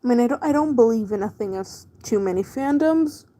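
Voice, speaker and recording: A woman's voice: fairly high pitch, lively intonation, fairly quick, dark tone. A woman chatting casually on a livestream as she works, in a laid-back way with trailing half-thoughts. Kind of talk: casual livestream chat while drawing.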